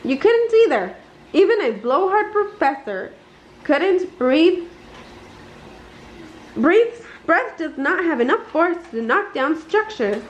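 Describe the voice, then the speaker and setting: A pompous lady goose scientist, as a woman reading aloud plays her, lectures with indignant emphasis. The voice is high and swoops around, with deliberate pacing. It is a storybook character in a roomy recording. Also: sing-song, full character voice. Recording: roomy, slightly dull, noisy home recording.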